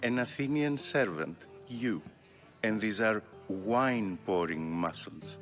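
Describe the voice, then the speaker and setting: A haughty Greek playwright. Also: male voice, lowish voice, expressive, takes it slowly, a bit bright, soft background music. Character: proud, defensive ancient Greek playwright. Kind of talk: video game cutscene acting.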